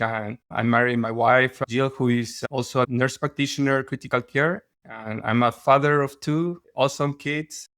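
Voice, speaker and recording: Halting man from Peru, dry close sound. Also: mid-range voice, fairly bright tone, smooth, no room sound. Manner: halting, with restarts and non-native phrasing. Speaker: guest physician. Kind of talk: casual podcast interview.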